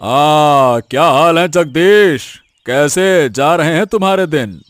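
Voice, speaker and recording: A man's voice: on the high side, expressive, slow pace, no echo. A man voicing an overbearing, scheming rich village father in a cartoon moral tale. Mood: insistent. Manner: pompous, rustic wheedling talk. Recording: music faintly behind the voice.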